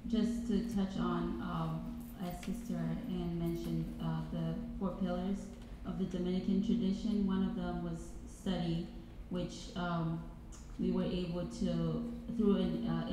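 A woman's voice: on the low side, flat delivery, slow pace. A young woman whose first language is Swahili, speaking hesitantly with many fillers on a student panel into a handheld mic in a large hall. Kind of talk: panel presentation. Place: large reverberant college hall. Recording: echoey hall, music behind.

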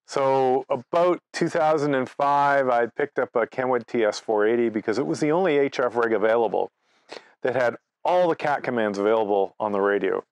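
A man's voice: medium pitch, moderate pace, no room sound. A man, giving an informal presentation as a conversational, anecdotal storyteller. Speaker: amateur radio presenter. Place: outdoors by trees at a cottage.

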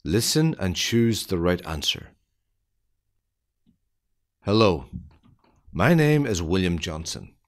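Very slow, deep-voiced male quiz reader. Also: big pitch swings. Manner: deliberate, evenly spaced phrasing for learners. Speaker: English listening quiz narrator. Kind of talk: slow scripted quiz reading.

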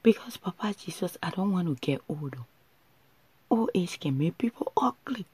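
Husky pleading woman, mock prayer. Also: on the low side, animated, moderate pace, dark tone, dry recording. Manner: pleading, exaggerated whining with whispered stretches. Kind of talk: comic mock prayer monologue. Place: small bedroom indoors. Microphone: phone camera held close.

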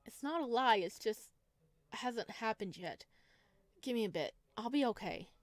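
A woman's voice: fairly high pitch, expressive, a little slow, no room sound. A woman streamer reading a visual novel aloud expressively and dramatically, adding her own commentary, close to the microphone.

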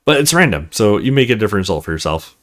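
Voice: low voice, sing-song, racing, a bit bright. A let's play host talking casually while commenting on a game.

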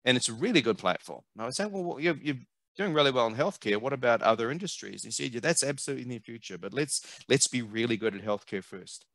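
A middle-aged man with a New Zealand accent, a marketing consultant speaking as an interview guest over a headset on a video call, animated and emphatic, often repeating words as he thinks. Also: mid-range voice, quick pace, no echo. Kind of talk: conversational interview. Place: home office, video call. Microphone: headset mic.

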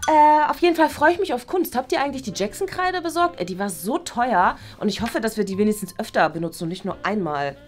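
A woman's voice: on the high side, expressive, fast talker, hardly any pauses, soft background music, natural voice. A woman playing an earnest, chatty, exasperated know-it-all schoolgirl in a kids' comedy sketch. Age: young adult. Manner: fussy, talkative. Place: small classroom.

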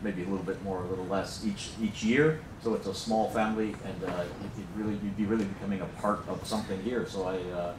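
A man's voice: low-pitched, level tone, quick pace, few pauses, distant mic, noisy background. A middle-aged American man, a program director, giving a chatty, joking welcome speech with many fillers, in a large lecture hall. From United States. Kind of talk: informal welcome speech to an audience. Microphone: handheld mic, filmed from the back. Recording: roomy hall, heard from afar.

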